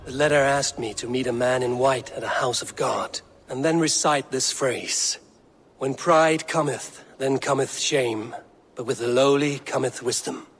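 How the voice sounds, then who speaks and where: A man playing a stoic Viking clan leader in a video game, grave, measured and plain-spoken. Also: mid-range voice, slightly rough. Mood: businesslike. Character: stoic Viking clan leader, male warrior, steady and resolute.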